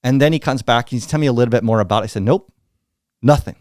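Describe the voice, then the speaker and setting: Middle-aged American man, blunt storyteller, lively delivery, dry close sound. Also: mid-range voice, fast talker, no echo. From United States. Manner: blunt, animated, acts out quoted dialogue. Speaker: guest. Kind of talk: candid storytelling interview.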